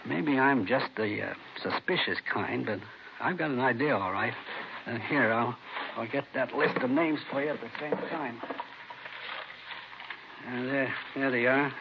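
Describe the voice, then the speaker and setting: Worried, folksy American theater manager. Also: male voice, mid-range voice, measured pace, creaky. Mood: confiding. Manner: hesitant, folksy, confiding. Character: worried, talkative neighborhood movie theater manager. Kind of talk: 1950s radio drama acting. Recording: dull, noisy vintage radio recording.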